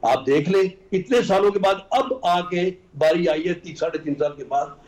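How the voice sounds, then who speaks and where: A man's voice: high voice, moderate pace, darkish tone. A man with a Pakistani accent arguing insistently as a political guest, point by point, with English phrases mixed in. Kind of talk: argumentative political debate. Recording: roomy, echoing sound.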